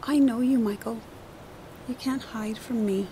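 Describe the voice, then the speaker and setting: A woman playing a caring, perceptive ex-wife and mother in an audio drama, gentle and concerned. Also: on the high side, steady tone, a little slow, slight background noise, close to an everyday voice, low-quality recording. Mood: concerned, tender.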